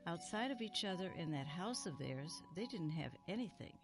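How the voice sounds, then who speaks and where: A woman playing a kindly elderly retired librarian in an audio drama, reminiscing gently and sorrowfully. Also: lowish voice, animated, moderate pace. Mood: wistful, sad. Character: kindly, wistful elderly female retired small-town librarian. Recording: music under the voice. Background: music.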